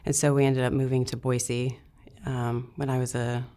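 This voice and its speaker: A woman's voice: a bass voice, level tone, normal speed, warm tone. A young woman from Idaho, speaking plainly and matter-of-factly as an interview guest, with frequent filler words. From Idaho, USA. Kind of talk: candid interview recounting her past.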